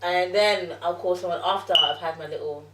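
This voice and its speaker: A woman's voice: lowish voice, steady tone, brisk, noisy background. A woman in her twenties in a casual panel chat, speaking frankly and matter-of-factly. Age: 20s. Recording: echoey room, distant mic.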